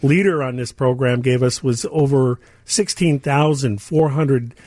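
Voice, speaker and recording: A man's voice: mid-range voice, measured pace, darkish tone, dry recording. A man speaking as a company guest in a conversational promotional interview.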